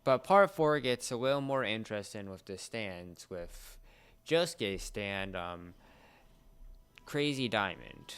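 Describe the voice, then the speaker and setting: A young man co-hosting a casual pop culture discussion, explaining things in a halting, searching way. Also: on the low side, animated, a bit bright, clear, resonant tone. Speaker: podcast co-host.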